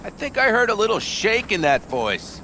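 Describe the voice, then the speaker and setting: An actor playing a cynical, teasing veteran nomad smuggler in a video game, with a sardonic, animated delivery. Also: male voice, high voice, moderate pace. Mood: wry, teasing.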